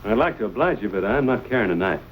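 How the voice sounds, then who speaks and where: Guy acting proud young frontier man in an old western, lowish voice, folksy and earnest, bit of noise. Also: expressive, quick pace, dull audio, close to an everyday voice. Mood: calm, guarded.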